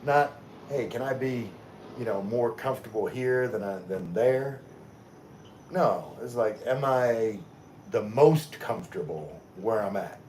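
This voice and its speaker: A man from Louisiana hosting a casual livestream, reading chat comments aloud and answering in a relaxed, rambling way full of fillers and restarts. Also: mid-range voice, measured pace, slight background noise.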